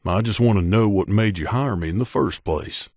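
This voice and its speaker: A man playing a grizzled, guarded veteran mercenary in a video game, with a gruff, curt, world-weary manner. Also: lowish voice, moderate pace, a little mellow, no room sound, studio quality. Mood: questioning, subdued. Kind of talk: video game companion dialogue.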